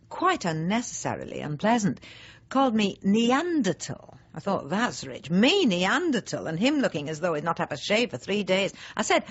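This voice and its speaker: Husky, swooping, snobbish housewife. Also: female voice, deep voice, dull audio, exaggerated voice. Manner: chatty, self-justifying, genteel, digressive with pointed emphasis. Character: snobbish, self-satisfied, resentful middle-aged housewife and mother. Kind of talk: radio drama monologue, confiding in the listener.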